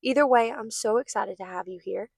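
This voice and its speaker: A young woman with a hoarse, worn voice talks earnestly and encouragingly to her viewers about faith. Her pitch sits in an ordinary middle range. The sound is dry and close, with almost no room echo. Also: a little fast, a little mellow. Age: young adult. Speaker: host of a faith channel. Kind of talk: casual devotional talk to camera.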